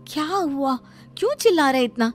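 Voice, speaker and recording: A woman's voice: high voice, expressive, brisk, faint music behind, pitched above the performer's own voice. A woman voicing an earnest, wronged young wife who protests her innocence in a family cartoon. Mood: hurt protest. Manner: protesting, defensive. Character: wronged young Indian wife, earnest, firm when cornered. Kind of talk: animated family drama voice acting.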